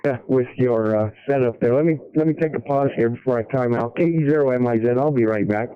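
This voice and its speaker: A man's voice: mid-range voice, quick pace, smooth. A middle-aged or older American man chatting casually and easygoing over a ham radio transmission. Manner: easygoing, folksy. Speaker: amateur radio operator checking in. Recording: narrow-band radio hotspot transmission.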